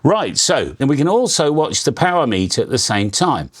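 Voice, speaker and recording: A man giving a casual hands-on radio tutorial, a loud, energetic talker who explains in a chatty way with frequent restarts. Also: mid-range voice, normal speed. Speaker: radio channel host.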